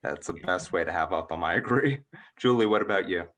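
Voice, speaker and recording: A man with an American accent and a very low-pitched voice moderates a panel discussion. He speaks as the moderator, setting out topics and handing over to guests. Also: fairly quick, fairly bright tone. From United States, Georgia.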